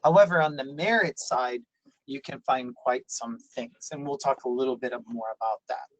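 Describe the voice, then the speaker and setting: A man's voice: mid-range voice, moderate pace, no echo, lo-fi sound. A man, raised in Italy, giving a webinar presentation on a video call and explaining things with many fillers and restarts. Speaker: university presenter.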